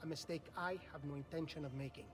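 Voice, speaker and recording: A man's voice: fairly high pitch, steady tone, quick pace, recorded from a distance, noisy background, lightly acted. An actor voicing a proud, shrewd older nobleman who speaks in a haughty, clipped manner.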